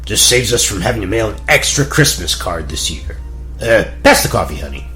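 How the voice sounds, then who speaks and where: Flustered fairy-tale king played by a man, wry and grumbling, forceful bright tone, huge pitch swings, parody audio play. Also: very animated, a little fast, soft background music.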